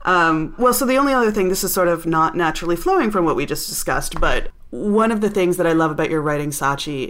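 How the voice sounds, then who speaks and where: A woman interviewing an author, speaking thoughtfully and earnestly in quick bursts. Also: sits low, a bit bright. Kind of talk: interview conversation.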